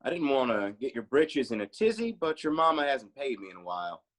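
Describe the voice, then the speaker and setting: A woman's voice: extremely low pitch, sing-song, brisk. A woman playing a folksy, easygoing Southern bar regular in a screenplay table read.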